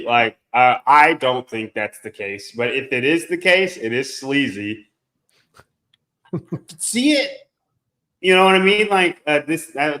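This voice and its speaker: Man, sniffly and congested, higher voice, loose rambling chat, roomy echo. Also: bright, forceful tone. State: congested from a cold. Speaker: podcast co-host. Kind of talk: casual chat.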